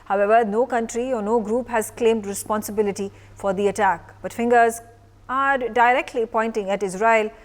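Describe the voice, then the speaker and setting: A woman anchors live television news in an Indian accent. Her voice sits fairly high, and she builds her questions in halting, drawn-out phrases. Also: fairly even intonation. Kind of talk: live news broadcast interviewing.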